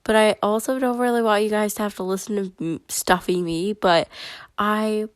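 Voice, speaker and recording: Chatty woman w a stuffy cold, mid pitch, lots of likes and ums. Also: moderate pace, dry recording, studio quality. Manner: chatty and excitable, many fillers. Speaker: bullet journal vlogger. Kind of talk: casual voiceover narration.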